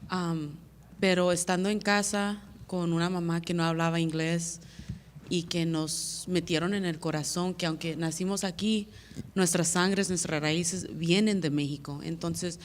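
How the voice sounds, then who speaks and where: A woman's voice: lowish voice, steady tone, measured pace, a little hiss or hum. Plainspoken woman fighter in an echoing hall. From United States. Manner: earnest, plainspoken, repeats words when searching. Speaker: fighter at media day. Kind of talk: press conference answers.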